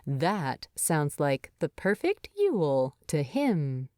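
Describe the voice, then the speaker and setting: A woman narrating a story in an expressive, tender way. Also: low-pitched, slow pace, no echo, studio quality. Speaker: fan fiction narrator.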